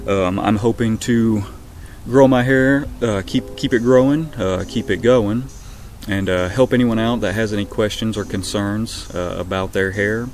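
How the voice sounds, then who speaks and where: A young man with a Southern accent giving a casual, relaxed update to camera from his backyard, with lots of ums and uhs. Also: on the low side, unhurried, a little hiss or hum. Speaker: vlogger. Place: backyard outdoors. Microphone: webcam at arm's length.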